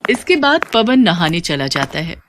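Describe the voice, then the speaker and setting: A woman's voice: lowish voice, very animated, unhurried, no room sound. A woman reading scripted narration for a children's moral story.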